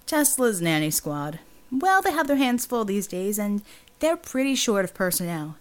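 A woman voicing a smug male mercenary recruiter in an audiobook, with insinuating, smooth sales patter. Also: medium pitch, big pitch swings, moderate pace, lightly acted.

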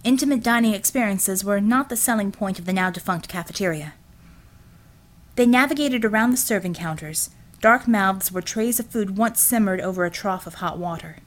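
A woman reading scripted fiction as an audiobook narrator, in an expressive storytelling style. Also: medium pitch, normal speed.

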